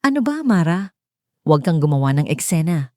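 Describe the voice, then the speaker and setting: A woman puts on a cold, dismissive husband in a drama story. The delivery is curt, sarcastic and irritated, in a mellow, dry-recorded voice. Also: low voice, sing-song, brisk, dry recording.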